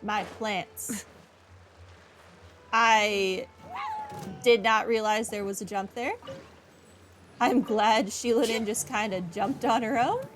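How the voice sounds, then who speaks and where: A woman's voice: on the high side, steady tone, takes it slowly, pauses often, fairly bright tone, soft background music. Casual, wry woman gamer commentating. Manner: wry, informal. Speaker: gaming video host.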